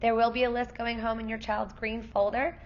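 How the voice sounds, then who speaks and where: Hoarse kindergarten teacher woman, gravelly voice. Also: on the high side, little pitch movement, a little fast, highs cut off, slight background noise. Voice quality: gravelly, worn voice. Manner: friendly, explanatory teacher talk. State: losing her voice. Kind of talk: parent night presentation.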